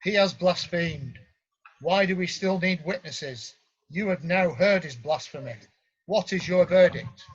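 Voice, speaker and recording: Man acting a husky, stern high priest. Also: unhurried, highs cut off. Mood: outraged. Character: stern, accusing male high priest interrogating a prisoner. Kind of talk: dramatised scripture reading.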